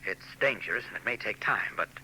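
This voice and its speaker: An actor playing a confident newspaper reporter hero in a 1940s radio play, calm and assured, on an old broadcast with faint noise. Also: male voice, on the low side, a little fast, heavily acted, poor audio.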